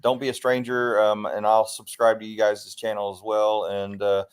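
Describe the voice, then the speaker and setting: A man's voice: lowish voice, little pitch movement, normal speed, crowd noise. Laid-back joking man in a group chat. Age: 40s or 50s. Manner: laughing, self-deprecating. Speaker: guest.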